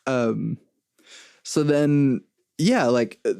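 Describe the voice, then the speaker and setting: A man's voice: mid-range voice, drawn-out words, gravelly, mellow, clear, resonant tone, dry recording, clean studio sound. A man from Venezuela who moved to the US as a child, hosting a casual interview with loosely phrased, informal questions. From born in Venezuela, moved to the US at six. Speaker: podcast host, interviewer.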